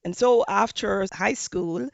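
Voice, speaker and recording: A woman from Jamaica with a Jamaican accent, a hospitality professor giving measured interview answers with frequent fillers. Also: medium pitch, gravelly, no room sound, lo-fi sound.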